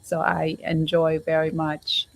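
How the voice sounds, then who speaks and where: A middle-aged woman from Cambodia who grew up in the United States, speaking haltingly as a guest in a casual online interview. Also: low-pitched, completely flat delivery, gravelly, slight background noise, low-quality recording. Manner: halting, repeats words.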